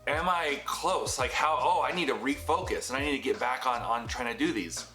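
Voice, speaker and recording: Bright-voiced man in his thirties, echoey room. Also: mid-range voice, moderate pace. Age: 30s. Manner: rambling, filler-heavy and self-correcting. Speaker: business vlogger. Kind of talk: casual talking-head vlog. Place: home office room. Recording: echoey room with light music. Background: heater humming.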